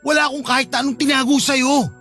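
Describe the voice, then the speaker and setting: A man's voice: extremely high pitch, little pitch movement, quick pace, pushed and bright, faint music behind. A man with a Filipino accent acting as a hurt, insistent young boyfriend in a radio drama, earnest and pleading. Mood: frustrated, hurt. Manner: earnest, pleading, emotionally pressing.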